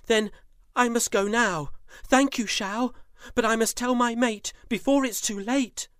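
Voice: sits high, expressive, slow pace, a bit bright, dry recording, studio quality, voice pushed up, exaggerated voice. A storyteller voicing a humble, eager female tiger who fears she will forget, in a storybook tale for children.

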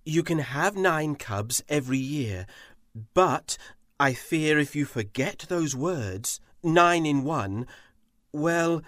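A storyteller doing a gentle, stern sky lord. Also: medium pitch, lively intonation, no room sound, clean studio sound. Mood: gentle, solemn. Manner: soft, slow and solemn.

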